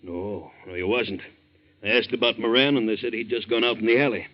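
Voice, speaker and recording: An actor playing a blunt, suspicious but loyal fishing-boat captain with plain slangy speech in 1940s radio style. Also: male voice, on the low side, brisk, close to an everyday voice. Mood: wary. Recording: muffled 1940s radio recording.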